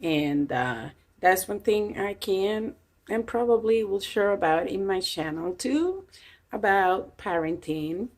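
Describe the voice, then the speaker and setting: A woman's voice: mid-range voice, expressive, slow pace, darkish tone. A woman in her fifties from Puerto Rico, with a Puerto Rican Spanish accent and Spanish as her first language, chatting casually to her channel's viewers with frequent fillers and easy laughs. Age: 50s. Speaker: channel host. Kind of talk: casual chat to camera.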